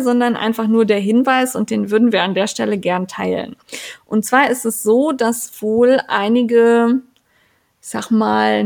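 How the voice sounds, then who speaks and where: Woman, podcast co-host, gravelly edge, chatty, lots of fillers, casual. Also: a little high, a little mellow. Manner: chatty, many fillers and restarts.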